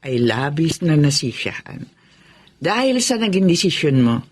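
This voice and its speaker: A woman's voice: low voice, sing-song, unhurried, a little mellow, no echo, full character voice. An actress playing an approving, caring middle-aged mother, reassuring in Filipino radio drama style.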